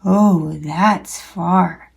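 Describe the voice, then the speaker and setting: A woman voicing a big grown male hare from a children's storybook, patient and loving, speaking gently with emphasis. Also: on the low side, drawn-out words, vocal fry, slightly rough, exaggerated voice. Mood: soft, loving.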